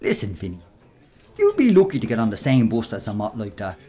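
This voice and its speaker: An actor with a Dublin accent playing a brash, mocking workplace bully in a radio play, talking in slangy, sneering bursts. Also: male voice, fairly low pitch, wildly swooping pitch, fairly quick, mellow, slightly rough, heavily acted. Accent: Irish, Dublin.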